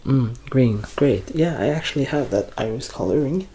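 A soft-spoken young man in an ASMR roleplay as a quirky, deadpan doctor, hesitant and halting, sometimes drifting toward a whisper. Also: mid-range voice, a little hiss or hum. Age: young adult. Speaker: ASMR performer playing a bumbling doctor. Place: small curtained room at home, indoors.

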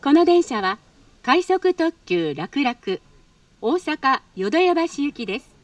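A woman delivers a formal transit announcement in a high, lively voice. Her delivery is polite and practised, and it sounds a little distant, coming from speakers in a train car. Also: slow pace, no echo. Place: train car, over public-address speakers.

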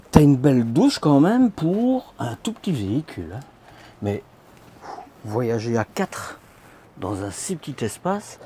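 Chatty man, mellow voice. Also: fairly low pitch, a little hiss or hum. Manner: chatty, informal, addresses viewer as tu, many fillers. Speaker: camper van vlogger. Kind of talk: casual walkthrough commentary. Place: large indoor trade show hall, inside vans.

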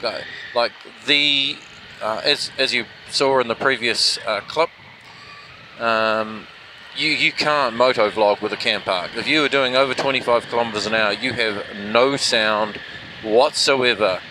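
A man's voice: medium pitch, unhurried, bright, forceful tone. A man chatting casually as a motovlogger, rambling and self-deprecating, talking inside a helmet while riding. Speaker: motovlogger reviewing action cameras. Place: outdoors on a motorbike, inside a helmet. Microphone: helmet microphone with foam fluffy. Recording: noisy helmet mic, vehicle and wind noise.

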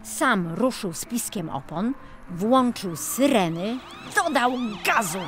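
A woman narrating a children's audiobook in an expressive storytelling style. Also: a little high, takes it slowly, noisy background.

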